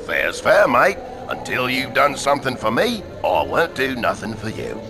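A man's voice: mid-range voice, wildly swooping pitch, moderate pace, over music. An actor playing a jovial, boastful rugged outdoorsman in a video game, talking in a matey, colloquial way. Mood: wheedling, boastful. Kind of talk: animated video game acting.

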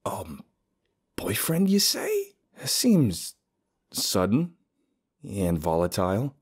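A man's voice: fairly low pitch, huge pitch swings, extremely slow, husky. A man reading fiction in audiobook style, acting out the dialogue as he narrates. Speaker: voice actor, narrator.

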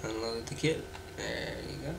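Gravelly young man rambling over gameplay. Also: medium pitch, normal speed. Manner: rambling, offhand, swears casually. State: congested from a cold. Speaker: gameplay video maker. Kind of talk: casual gameplay commentary. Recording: noisy home mic with keyboard sounds.

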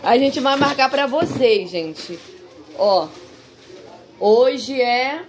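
A woman's voice: sits high, lively intonation, very slow. A young woman with a Brazilian accent, chatting casually to viewers as she narrates a home cooking vlog. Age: young adult. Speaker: home vlogger. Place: small tiled home kitchen, indoors. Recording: noisy hissy home-kitchen recording.

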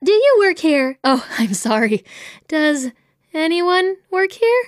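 A woman voicing excitable townswomen in a comedic video game, with an exaggerated, expressive delivery. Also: very high-pitched, slow pace, dry recording, studio quality, full character voice. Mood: perky.

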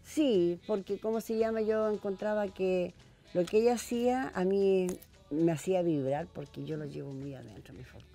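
An elderly Chilean woman talks casually as a guest in a television studio. Her speech is plain and conversational, at a middling pitch, with music behind her. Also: moderate pace, mellow. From southern Chile. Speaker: folk singer, interview guest.

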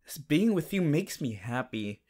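A man playing a shy, awkward, lovestruck teenage anime boy hero in a halting, stammering romantic roleplay addressed to the listener. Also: a little high, sing-song, darkish tone, slightly rough, heavily acted. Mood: tender, happy. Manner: halting, stammering, flustered, giggly.

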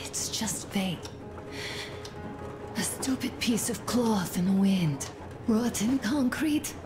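A woman playing an ailing young woman in a game, tender, weary and fatalistic, speaking haltingly and intimately. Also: a little high, animated. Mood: pleading, bitter resignation. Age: young adult. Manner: slow, halting, intimate delivery. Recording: music under the voice.

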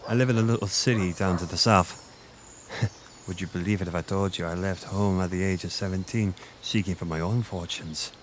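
A man plays a flirty Irish innkeeper in a fantasy roleplay audio, with a lowish voice. He is teasing and gentle, chatting intimately and poking fun at himself. Clear background ambience runs behind his voice. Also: steady tone, brisk, slight background noise, natural voice. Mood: friendly, reminiscing. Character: flirty Irish male innkeeper, gentle, self-deprecating former adventurer.

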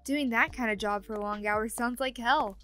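Woman voicing a playful high-pitched ghost roommate. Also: moderate pace, a bit bright, faint music behind, lightly acted. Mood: teasing, friendly. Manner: teasing, chatty. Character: playful, teasing, meddling young female ghost roommate. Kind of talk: ASMR audio roleplay acting.

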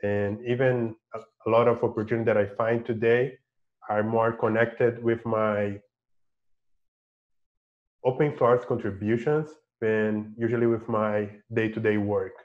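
Soft-spoken man, muffled call audio. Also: lowish voice, little pitch movement, measured pace. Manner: thoughtful, hesitant, repeats words. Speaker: panelist, developer. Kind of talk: panel discussion over video call. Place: home room.

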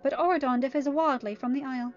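A condescending young court official voiced by a woman in an audio story. She is brisk and patronizing in a very high voice, recorded a little dull and lo-fi. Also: steady tone, a little mellow, faint music behind, close to an everyday voice.

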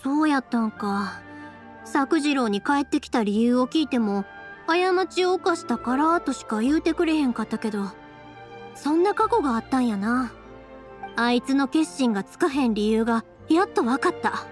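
Expressive high-voiced young Kansai woman. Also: moderate pace, faint music behind. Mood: surprised, understanding. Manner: expressive, earnest, colloquial. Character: spirited, caring young woman, fireworks maker. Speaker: voice actor in a game. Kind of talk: anime game acting.

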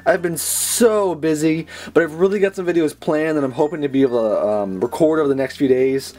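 A man's voice: fairly high pitch, fairly quick, a little mellow. A young man who is sick and has a sore throat, chatting casually with lots of fillers as he reviews his book haul indoors. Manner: chatty, enthusiastic, many fillers. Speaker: manga haul video host. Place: indoors at a table with books.

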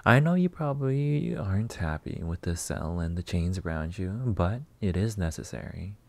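A man playing a flirty, possessive, delusional vampire master in a roleplay ASMR monologue, teasing and theatrical with drawn-out words. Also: sits low, expressive, darkish tone. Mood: smug teasing.

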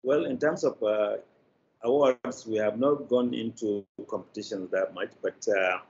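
An older man with a Nigerian accent telling his story as an interview guest, in a halting, deliberate, emphatic way. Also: on the low side, creaky, darkish tone. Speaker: interview guest, film producer and festival founder. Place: indoor room, on a video call. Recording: dull, lo-fi video call audio.

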